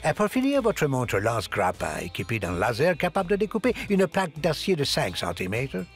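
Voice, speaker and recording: An actor playing a fussy, polite spy gadget master in a French cartoon, with a formal, jovial manner. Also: male voice, mid-range voice, huge pitch swings, fairly quick. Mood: brisk, jovial. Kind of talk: French cartoon dub acting. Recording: music behind the voice.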